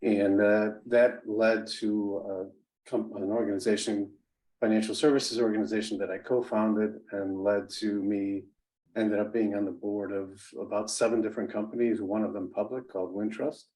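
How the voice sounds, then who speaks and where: A man in his sixties with a fairly low voice tells his life story as an interview guest. Italian was his first language. He speaks haltingly, restarting sentences and leaning on fillers, and now and then he chuckles at his own remarks. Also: flat delivery, a little mellow, rough recording. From United States. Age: 60s. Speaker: interview guest, business executive. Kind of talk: conversational storytelling.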